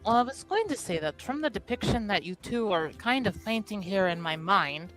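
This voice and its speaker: A woman voicing a blunt, skeptical woman in tabletop roleplay, speaking deliberately and pausing in the middle of her thoughts. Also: medium pitch, expressive, a bit bright, faint music behind, close to an everyday voice. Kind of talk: improvised in-character tabletop roleplay.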